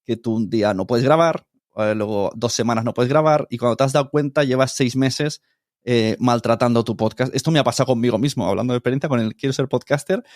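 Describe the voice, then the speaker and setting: A man's voice: medium pitch, fast, no room sound, clean studio sound. A middle-aged man with an accent from Spain, talking casually and informally as an interview guest, explaining with examples. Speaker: podcast producer, interview guest.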